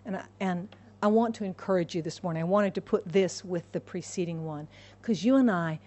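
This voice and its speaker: A woman's voice: mid-range voice, mellow. A woman from the United States preaching a sermon, emphatic and repeating her key phrases for the congregation. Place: church in Hong Kong.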